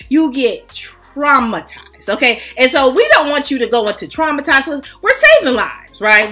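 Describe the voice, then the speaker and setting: A woman with a bright, high voice, the kind heard on a beauty channel's storytime, dishing out mock-serious advice over music. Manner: playful, teasing, mock-serious instructing with frequent check-in tags. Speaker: fragrance vlog host. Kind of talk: casual comedic storytime chat.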